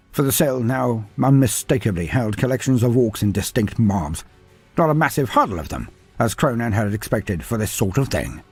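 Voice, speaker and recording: A man narrating a scripted fantasy tale in an animated way, dropping in wry asides. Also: medium pitch, husky, dry recording. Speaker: storyteller.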